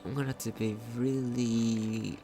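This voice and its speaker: A man's voice: medium pitch, little pitch movement, a little slow. A man doing casual let's play commentary, thinking aloud, repeating phrases and asking himself questions. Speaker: gaming commentator. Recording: game music behind the voice. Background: video game music.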